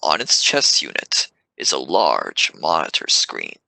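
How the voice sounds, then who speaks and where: A man's voice: rumbling low, wildly swooping pitch, a little slow, vocal fry, pushed and bright, no echo. A man reading scripted scene narration for an audio drama.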